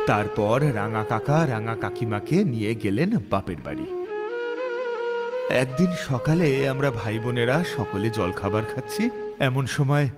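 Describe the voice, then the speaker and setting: A man reading a scripted dramatic story as narrator, expressive and suspenseful. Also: medium pitch. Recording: voice over prominent background music. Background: music.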